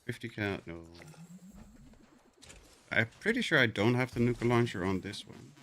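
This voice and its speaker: A man's voice: medium pitch, animated, moderate pace, fairly bright tone, soft background music. A middle-aged man with a New York accent, chatting casually as he streams his gameplay, often stumbling and repeating his words.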